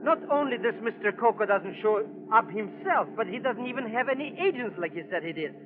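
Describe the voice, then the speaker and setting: A man's voice: high-pitched, expressive, fairly quick. A man playing a nervy, money-grubbing comic sidekick in a vintage radio drama, fussy and wheedling. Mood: boastful. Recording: muffled, band-limited old radio recording.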